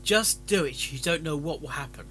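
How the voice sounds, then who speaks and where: Bright, halting man, rambling casually. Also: fairly high pitch, faint music behind. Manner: halting, stammering, repeats words. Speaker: host of a fan fiction reading stream.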